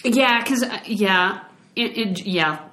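A woman's voice: medium pitch, slow, pushed and bright, echoey room. A woman in her late twenties co-hosting a casual podcast. She talks in a chatty way, often restarting and trailing off. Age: late 20s. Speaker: podcast co-host. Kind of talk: casual chat.

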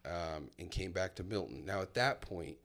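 Deep-voiced man in his thirties. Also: animated, normal speed, a bit bright. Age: 30s. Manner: candid, reflective storytelling with many fillers. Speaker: guest sharing his testimony. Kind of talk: casual personal conversation.

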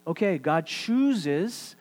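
New guy preaching, kinda high voice, goes real quiet to make a point, little hum behind. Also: big pitch swings, very slow. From New York City. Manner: earnest, repetitive, drops to near-whisper for emphasis. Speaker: pastor. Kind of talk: sermon.

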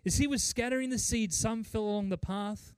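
High-pitched male pastor preaching earnestly. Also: clean tone, dry recording. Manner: earnest, emphatic, with deliberate pauses and repetition. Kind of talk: sermon with mission report and scripture reading.

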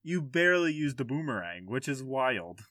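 A man with a mid-pitched voice hosts a relaxed gaming podcast. He talks informally, swears freely and is quick to laugh. The sound is dry and close. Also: no room sound. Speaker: podcast host. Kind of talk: casual video game podcast chat.

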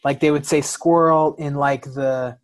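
A man's voice: a little high, steady tone, unhurried. A man from the United States talking candidly about himself in a conversation, as a guest, sometimes repeating words as he searches for phrasing. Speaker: interview guest. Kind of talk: candid personal storytelling in conversation.

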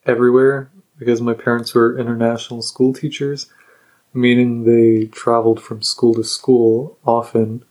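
Young man, mid-range voice, relaxed interviewee, casual telling. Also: mid-range voice, normal speed, rough recording. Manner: relaxed, with fillers. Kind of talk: casual personal account.

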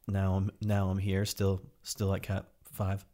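A man hosting a casual interview, asking loosely phrased questions in an informal, halting way. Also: sits low, completely flat delivery, mellow. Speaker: podcast host, interviewer.

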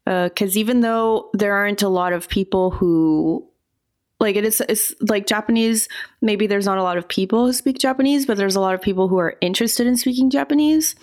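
A woman giving relaxed, thoughtful answers as an interview guest, often hedging her points. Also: mid-range voice, a little fast.

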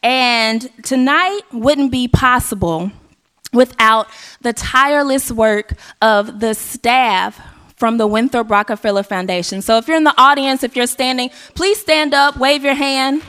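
A hoarse woman from Arkansas, hosting a live event on a stage mic and rallying the audience with call and response. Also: on the high side, unhurried. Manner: energetic, rallying the crowd. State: hoarse, losing her voice. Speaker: event emcee. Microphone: handheld stage mic. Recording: live hall with faint audience.

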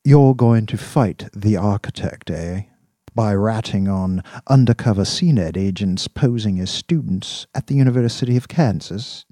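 Man voicing a sardonic, husky underworld kingpin. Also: on the low side, mellow, dry recording, clean studio sound, pitched above the performer's own voice. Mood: dry, sardonic. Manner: deliberate, formal, dryly ironic. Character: cool, sardonic male underworld kingpin, measured and probing. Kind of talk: audiobook character dialogue.

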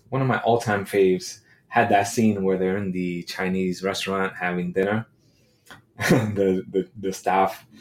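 A man with a fairly low voice rants in a loose, unscripted way. He swears constantly and mixes sarcasm with mock outrage, and his talk is full of fillers and restarts. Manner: crude, sarcastic, profane. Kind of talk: casual solo rant.

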